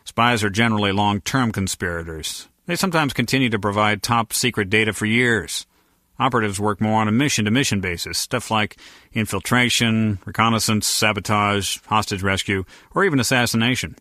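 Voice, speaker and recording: A man's voice: lowish voice, fairly quick, bright, forceful tone, no echo, clean studio sound. A man voicing a jaded, know-it-all henchman in a video game, explaining things pedantically and easily irritated. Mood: pedantic, dry. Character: jaded male henchman, pedantic ex-operative guard.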